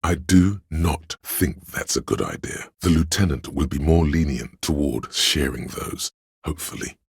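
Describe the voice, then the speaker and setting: A man with a gravelly, husky voice reading scripted literary narration for a video game in a measured, solemn, deliberate way. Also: extremely low pitch, dry recording, studio quality.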